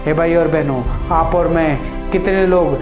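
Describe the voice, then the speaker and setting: A man's voice: on the high side, steady tone, measured pace. Fervent male preacher, roomy sound. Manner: fervent, addresses brothers and sisters, sweeping gestures. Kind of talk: Hindi sermon. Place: indoor studio before printed backdrop. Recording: roomy sound with music underneath.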